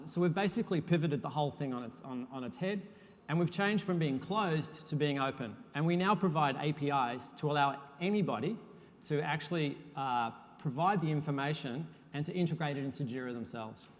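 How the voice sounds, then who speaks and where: A man giving a technical conference talk as a software architect, relaxed and self-deprecating, with frequent uhs. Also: a little high, brisk. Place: conference hall. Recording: long hall reverb.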